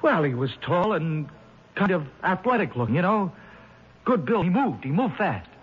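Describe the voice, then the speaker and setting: An actor playing a brash, slangy hoodlum on trial for murder in a 1970s radio drama. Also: male voice, high voice, sing-song, moderate pace. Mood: wary, cynical. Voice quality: slangy, rough street talk. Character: brash cornered male hoodlum, a murder defendant. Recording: muffled, noisy 1970s radio recording.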